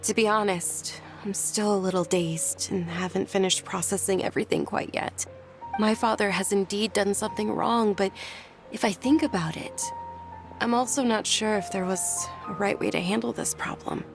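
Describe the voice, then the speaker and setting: Woman acting a young daughter, medium pitch, sincere, hesitant, thoughtful, dutiful, video game, faint music behind. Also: steady tone, normal speed, close to an everyday voice. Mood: subdued, sincere.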